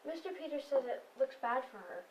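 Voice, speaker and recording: Timid, higher-voiced wife played by a woman. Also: expressive, fairly quick, darkish tone, lo-fi sound. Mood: hesitant, mild. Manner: hesitant, deferential. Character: timid, dutiful lawman's wife. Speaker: stage actor. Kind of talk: amateur stage play dialogue. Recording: faint background room noise.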